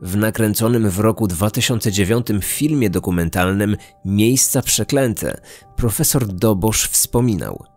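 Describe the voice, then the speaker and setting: A man's voice: on the low side, lively intonation, measured pace, a little mellow, faint music behind. A man delivering scripted documentary narration as the host of a true-crime podcast.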